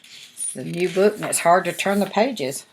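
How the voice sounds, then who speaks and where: A woman's voice: lowish voice, moderate pace, creaky, slight background noise, rough recording. An older woman, a grandmother, reading a storybook aloud with folksy, chatty asides and questions to the listener.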